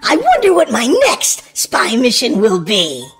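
A woman hosting a children's read-aloud, narrating in an exaggerated, sing-song, expressive way for small children. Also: on the high side, unhurried, breathy edge, soft background music. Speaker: host and reader of a children's read-aloud show. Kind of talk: animated children's storybook narration.

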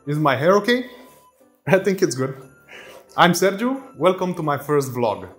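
A man's voice: a little high, sing-song, unhurried. A man in his thirties from Romania with a Romanian accent, chatting casually to camera in a vlog and laughing often at his own asides, recorded on a phone in a workshop. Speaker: vlogger. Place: indoor workshop with tools and shelves. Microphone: phone camera mic. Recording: echoey room on a phone mic, faint music.

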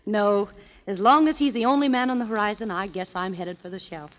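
An actress playing a soft-spoken, wry young woman in a 1930s radio drama, on a dull lo-fi old recording. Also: medium pitch, brisk, natural voice. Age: young adult. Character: wry, resigned older sister. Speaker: sister Emma.

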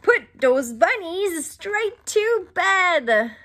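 Woman playing a slow, emphatic, stern doctor cat. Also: extremely high pitch, very animated, pitched above the performer's own voice, full character voice. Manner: loud, slow, emphatic commanding.

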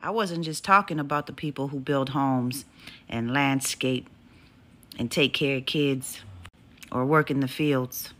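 A woman's voice: a bass voice, unhurried, fairly bright tone. A woman delivering an impassioned, profane monologue to camera, emphatic and scolding.

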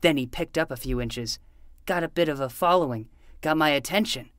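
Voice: mid-range voice, fairly quick, a bit bright, no echo, clean studio sound, natural voice. An actor playing an earnest twelve-year-old boy who talks like a hard-boiled private eye in a fiction podcast. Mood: brooding, self-serious. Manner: self-serious detective patter. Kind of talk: fiction podcast acting with noir narration.

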